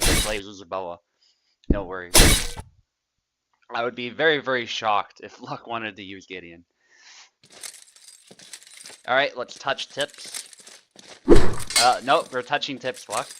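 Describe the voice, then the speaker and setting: A man's voice: fairly low pitch, noisy background. Chatty young man commentating. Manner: relaxed, chatty, laughs often, trails off quietly. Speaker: tournament player and commentator. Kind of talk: casual livestream commentary.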